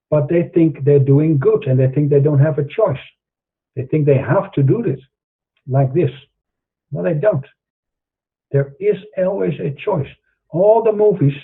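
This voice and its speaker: Husky Dutch-accented man over a tinny line. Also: on the high side. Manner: earnest, preachy, with frequent fillers and restarts. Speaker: host. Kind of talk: monologue to camera in an online show.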